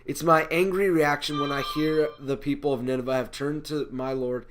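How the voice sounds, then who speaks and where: A man's voice: a little high, fairly even intonation, normal speed, noisy background, natural voice. A man performing a comic stage monologue as an indignant, self-justifying prophet, with emphatic, declamatory delivery. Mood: self-important. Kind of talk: rehearsed comic speech monologue.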